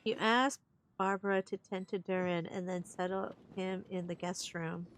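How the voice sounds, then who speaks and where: A woman's voice: on the low side, fairly even intonation, moderate pace, rough recording. A woman game streamer giving casual live commentary and reading along with the game. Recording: faint game music behind. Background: video game music.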